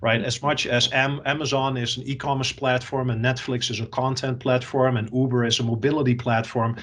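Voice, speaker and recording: A man from the Netherlands with a Dutch accent, an executive answering interview questions and often pausing with fillers and repeated words. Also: mid-range voice, little pitch movement, a bit bright. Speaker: software company executive.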